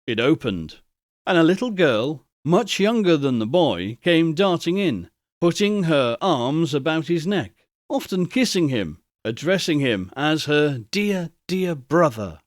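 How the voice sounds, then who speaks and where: Expressive, slow-paced male storyteller. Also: fairly high pitch, no echo, clean studio sound. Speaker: narrator. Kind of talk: dramatic literary audiobook reading.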